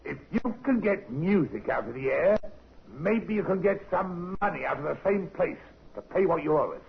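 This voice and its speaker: Gruff pub landlord, gravelly and bossy, jumpy higher voice, muffled old radio. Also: male voice, expressive, moderate pace. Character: gruff, blunt English innkeeper husband, middle-aged. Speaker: radio drama actor. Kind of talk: 1950s radio crime drama acting. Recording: muffled vintage radio recording.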